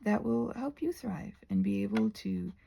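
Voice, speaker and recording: A woman rambling casually to viewers as she crafts, in a halting, digressive way that often doubles back on itself. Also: medium pitch, lively intonation, vocal fry, warm tone, slight background noise. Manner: halting, digressive, self-interrupting. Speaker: craft and ASMR video creator.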